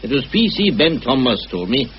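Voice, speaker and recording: An actor playing an affable, chatty village police sergeant with a rambling, folksy manner in a radio drama. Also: male voice, medium pitch, big pitch swings, a little fast, natural voice. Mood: affable, unhurried. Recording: dull, slightly hissy old radio recording.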